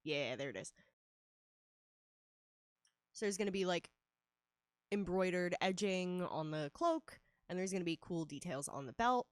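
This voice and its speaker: Female artist streamer, congested and nasal from a cold, lowish voice, dry mic, chatty and casual. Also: animated, unhurried, long pauses, dry recording. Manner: chatty, laughs often, many fillers. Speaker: livestreaming artist. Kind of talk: casual streaming chat while drawing.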